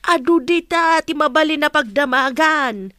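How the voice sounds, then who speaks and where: A woman's voice: high-pitched, a little slow, sharp tone, dry recording, clean studio sound. An actress with a Filipino accent playing a suspicious, nagging older mother who questions and scolds, in a radio drama. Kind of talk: Ilocano radio drama acting.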